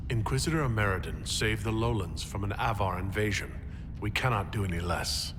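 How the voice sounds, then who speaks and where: A man playing a steady, earnest military leader in a video game, measured and resolute. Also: on the low side, noisy background, lightly acted. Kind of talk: video game cutscene acting. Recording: loud music behind the voice.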